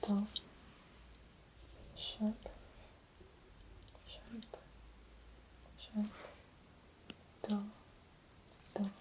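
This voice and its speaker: A woman plays the patient in an ASMR exam, answering quietly in a word or two. Her voice is soft and mellow, with very long gaps and a very slow delivery. Faint noise and small clicks sit behind it. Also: medium pitch, monotone. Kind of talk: soft-spoken ASMR role-play.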